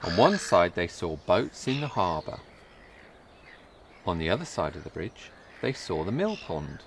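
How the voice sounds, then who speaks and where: Slow-reading, low-voiced man telling a children's story. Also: big pitch swings, slight background noise. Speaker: storybook reader. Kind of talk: scripted children's story narration.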